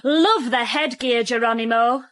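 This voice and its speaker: A woman's voice: a little high, a little slow, a bit bright. A woman playing a cheeky, flippant young office assistant with a Northern English accent in a comic radio drama. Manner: flippant, offhand.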